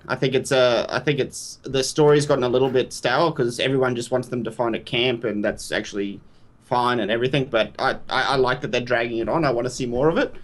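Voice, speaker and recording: A man giving his opinion on a casual podcast, halting and restarting his sentences. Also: mid-range voice, fairly bright tone. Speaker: podcast co-host. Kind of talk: casual chat.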